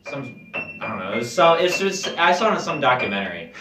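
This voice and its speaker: A young man chatting casually on a podcast among friends, rambling with many fillers and quick bursts. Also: medium pitch, sing-song. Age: young adult. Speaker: podcast host. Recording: echoey room, mic far off, music behind.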